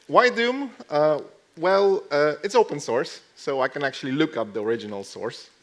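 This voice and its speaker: A man speaking casually at a conference as he gives a short tech talk, with dry, deadpan joking asides. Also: a little high, unhurried. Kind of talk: casual lightning tech talk with live demo. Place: live talk to a conference audience.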